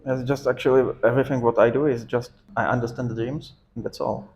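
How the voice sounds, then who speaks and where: A man from the Czech Republic with a Czech accent, speaking English as a second language in halting, hesitant phrases during an interview. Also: medium pitch, steady tone, darkish tone, highs cut off, slight background noise. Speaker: interview guest. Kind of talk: casual interview.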